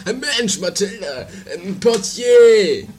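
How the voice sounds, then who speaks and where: A man's voice: sits high, animated, extremely slow, heavily acted. Casting: man as dramatic, fussy companion, swooping intonation, noisy homemade radio comedy. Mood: flustered. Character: fussy, dramatic castle-dwelling travel companion. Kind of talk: amateur comedy radio play.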